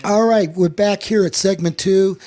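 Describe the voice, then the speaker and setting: A man with a noticeably high voice hosts a casual sports talk show. He tells anecdotes like an old hand at broadcasting, often doubling back on his words as he builds a story. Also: fairly even intonation, normal speed, over loud music. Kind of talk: casual chat and anecdote telling.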